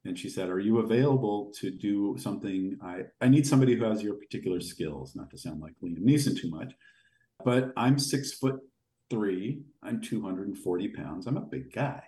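A man with a deep voice telling long, rambling anecdotes as a podcast guest. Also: moderate pace. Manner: rambling, animated anecdotes with fillers. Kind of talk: casual storytelling in an interview. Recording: echoey room.

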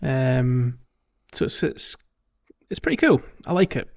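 A man's voice: mid-range voice, very animated, a little slow, gravelly, dull audio. A man co-hosting a gaming podcast in casual, rambling chat, thinking aloud as he goes. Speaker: podcast co-host.

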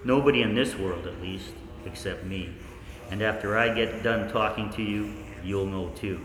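A man playing a haunted researcher looking back, narrating a 1940s radio play in an intimate, deliberate, ominous way. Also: lowish voice, lightly acted. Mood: haunted, dread. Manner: intimate, deliberate, knowing, ominous direct address. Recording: echoey hall, long reverb, faint noise.

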